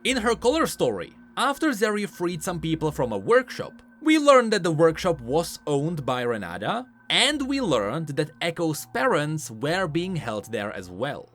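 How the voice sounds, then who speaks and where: A man's voice: a little high, big pitch swings, unhurried, hardly any pauses, a bit bright. A man giving scripted, explanatory commentary on video game lore in an emphatic way.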